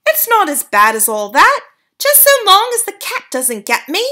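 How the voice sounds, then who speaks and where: A woman's voice: huge pitch swings, normal speed, sharp tone, exaggerated voice. Woman doing a chirpy little mouse-boy. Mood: gleeful. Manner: chirpy, gleeful, high. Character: cheeky young boy turned mouse.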